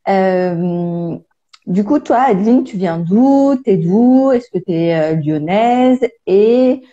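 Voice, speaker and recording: A woman's voice: medium pitch, animated, slow pace, dark tone. Friendly woman interviewer, dull audio. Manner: friendly, informal questioning. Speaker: interviewer, naturopath. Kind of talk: casual interview questions. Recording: dull lo-fi sound.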